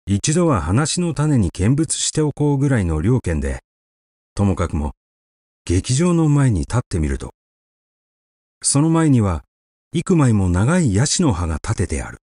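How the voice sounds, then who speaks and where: A man reading a literary short story aloud as an audiobook narrator, with measured, expressive storytelling. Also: on the low side, no room sound, studio quality.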